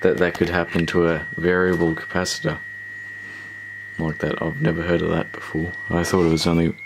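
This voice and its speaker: A man's voice: sits low, monotone, brisk. A man talking casually through a hands-on repair video, hesitant and thinking aloud as he goes. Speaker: host of a radio repair video. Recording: clear background noise with electrical hum.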